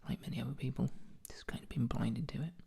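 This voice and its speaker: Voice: mid-range voice, fast talker, vocal fry, mellow, slightly rough. A speaker, whispering an ASMR commentary with dry, jokey asides while showing paintings.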